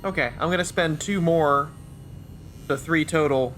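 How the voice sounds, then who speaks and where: A man's voice: a little high, unhurried, fairly bright tone, slight background noise. A man chatting casually as a player in a tabletop role-playing game.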